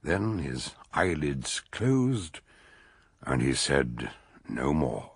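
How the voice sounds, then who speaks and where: An actor playing a stout, wry doctor detective in a radio drama, theatrical and droll, gravelly and husky. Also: male voice, rumbling low, big pitch swings, drawn-out words, dry recording, studio quality, exaggerated voice. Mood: grave, storytelling. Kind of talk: radio drama acting and storytelling narration.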